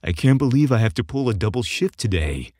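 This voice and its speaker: A man's voice: mid-range voice, big pitch swings, brisk, darkish tone, dry recording, studio quality. A man voicing a grumbling, playful young male gym trainer in story dialogue, griping with mock exasperation.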